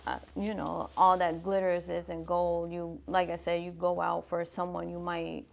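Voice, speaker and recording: A woman's voice: on the low side, completely flat delivery, unhurried, vocal fry. A woman in her thirties, a guest on a casual interview, giving thoughtful, earnest advice about love. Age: 30s.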